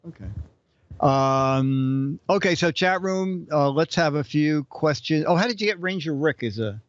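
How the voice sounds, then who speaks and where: A man's voice: a little high, expressive, measured pace, no echo, dull audio. A man hosting a casual live-stream chat, joking around and reading out viewer questions. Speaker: show host.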